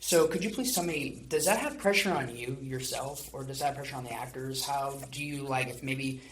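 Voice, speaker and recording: A man's voice: mid-range voice, a little fast, a bit bright, slight background noise, rough recording. A young man hosting a podcast, putting interview questions to his guests. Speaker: podcast host.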